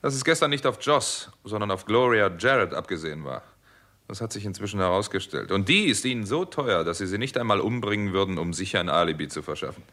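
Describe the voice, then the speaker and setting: A man's voice: on the low side, expressive, quick pace, sharp tone. A man acting an indignant, determined American doctor and amateur sleuth in a 1960s German radio crime play, speaking earnestly and emphatically. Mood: sarcastic, confrontational.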